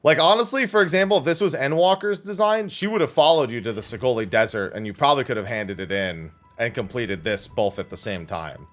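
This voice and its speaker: A man's voice: medium pitch, expressive, fairly quick, hardly any pauses, fairly bright tone, soft background music. A man giving casual, rambling livestream commentary as he plays a game, thinking aloud. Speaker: livestream gamer and host.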